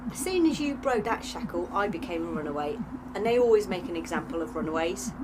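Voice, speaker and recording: Woman playing streetwise British servant girl, animated pitch. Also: fast talker, background noise, lightly acted. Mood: exasperated. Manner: blunt, slangy, sceptical. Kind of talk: audio drama acting.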